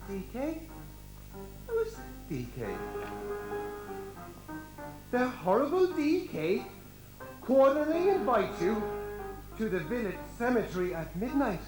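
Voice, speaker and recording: A man's voice: very high voice, exaggerated voice. A nervous, cowardly pantomime servant played by a man, his voice swooping up and down as he stutters and exclaims. The echoey stage recording suits a broad, comic performance. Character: jittery, cowardly, food-loving royal servant called Windbag. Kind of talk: broad amateur pantomime acting. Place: hall stage.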